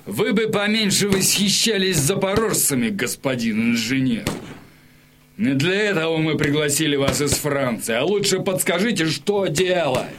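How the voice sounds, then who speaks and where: An actor playing a haughty, irritable Polish military governor in a Russian audio drama, peevish and commanding. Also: male voice, fairly high pitch, animated, moderate pace, noisy background, close to an everyday voice. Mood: irritated.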